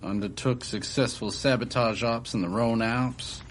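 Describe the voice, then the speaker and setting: A man acts a brash, blustering male spy chief in a radio drama. He is blunt and informal, with a mid-pitched voice, over faint background ambience. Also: fairly even intonation, moderate pace, slight background noise, natural voice. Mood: businesslike. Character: brash, blustering male American spy boss, hard-drinking.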